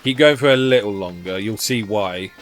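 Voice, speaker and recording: A man's voice: fairly low pitch, normal speed, faint music behind. A man streaming a video game, chatting casually and playfully as he plays. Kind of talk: casual gameplay chat.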